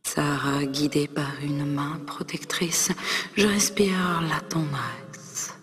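A woman performing her own poem as a dramatic recitation, breathy and often half-whispered, expressive, with long pauses between short phrases. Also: low-pitched. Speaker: poet performing own work. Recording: long reverb, music underneath. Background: ney flute music.

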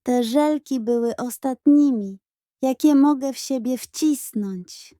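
A woman's voice: high-pitched, slow pace, dark tone, no room sound, studio quality. A woman voicing a boastful, determined, self-important young boy in a children's audio story. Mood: groaning, disappointed. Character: Piotrek, boastful, determined young boy, an older-acting brother.

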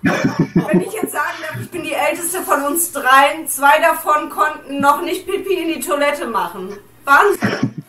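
A young woman with a slightly high voice gives her opinion in a casual review chat. She is in a small, very roomy-sounding living room, away from the camera, with music behind her. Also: lively intonation, unhurried, sharp tone. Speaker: audio drama reviewer and interviewer.

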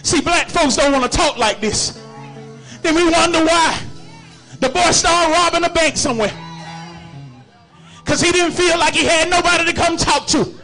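A middle-aged male preacher shouting a revival sermon in plain, colloquial speech and chanting his lines over and over in repeated refrains. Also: very high voice, moderate pace, long pauses, soft background music, rough recording. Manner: plain-spoken colloquial talk. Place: church service.

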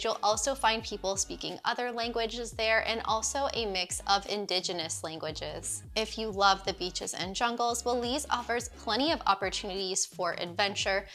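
A woman's voice: medium pitch, bright, forceful tone. A woman in her thirties giving upbeat, scripted travel narration as a vlogger, recorded on a lapel mic in a small living room. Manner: upbeat, clear presenter delivery.

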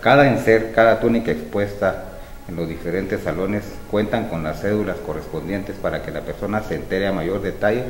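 A middle-aged man, a museum spokesman explaining an exhibition in a measured, informative way. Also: deep voice. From Guatemala. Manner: measured, informative, with small restarts. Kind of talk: explanatory museum tour talk. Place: museum hall with exhibits, indoors. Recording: echoey hall with music behind. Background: music.

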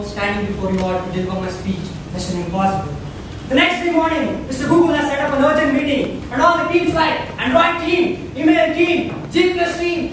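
Distant echoey recording, noisy, Indian-accented man, theatrical speechmaking, emphatic, dramatic pauses, comic storytelling. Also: extremely high pitch. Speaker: club speaker. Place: meeting room with a small audience. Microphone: far camera. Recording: echoey room, distant camera mic, noisy.

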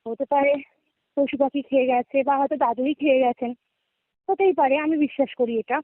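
A young woman speaks in a high voice with a West Bengal Bengali accent. She recounts her own experience in a casual, conversational way, often checking that the listener follows. It comes through a thin, tinny phone line with very little room sound. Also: fairly even intonation, brisk, no room sound. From West Bengal, India. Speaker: guest caller. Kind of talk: casual personal storytelling. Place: remote call, dry sound. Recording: narrow tinny phone-call audio.

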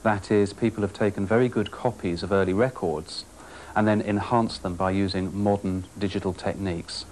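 Deep-voiced man explaining. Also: fairly even intonation, moderate pace, a little mellow. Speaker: company spokesman interviewed. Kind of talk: explanatory interview. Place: small office, indoors. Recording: faint office room noise.

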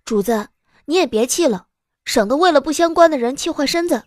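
Lady doing worried little maidservant, high dry voice, earnest, costume romance audiobook. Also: animated, fairly quick, no echo. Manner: earnest, fretful. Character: anxious, loyal young maidservant.

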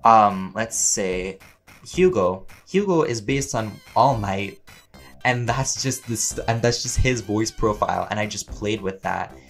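A man's voice: on the low side, measured pace, vocal fry, soft background music. A man chatting casually and playfully as a voice actor answering listener questions in a rambling Q&A. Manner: playful, chatty, laughs often, animated emphasis.

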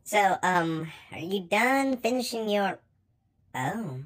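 A woman voicing a young female hellhound, teasing and guarded with a soft side, in an amateur comic dub. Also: mid-range voice, sing-song, slow pace. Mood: fond, bashful.

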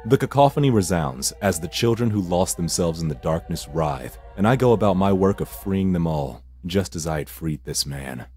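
A man's voice: sits low, animated, moderate pace, few pauses, mellow, slightly rough, faint music behind. An actor playing a grim, world-weary supernatural rescuer, narrating his hunt in a brooding, solemn, dramatic way. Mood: somber. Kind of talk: dramatic scripted first-person narration.

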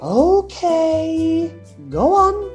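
A man's voice: very high-pitched, very animated, drawn-out words, faint music behind, full character voice. A British man playing an exasperated, defensive masked superhero in a comic radio play, protesting melodramatically.

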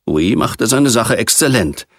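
A man narrating a science fiction novel as an audiobook, reading in a measured, precise literary manner. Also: mid-range voice, sing-song, vocal fry, no echo, studio quality.

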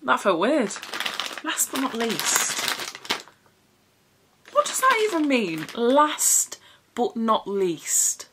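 A woman's voice: fairly high pitch, a bit bright, a little hiss or hum. A young woman in a small bedroom, chatting frankly and casually to camera about her haul, swearing now and then. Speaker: vlogger.

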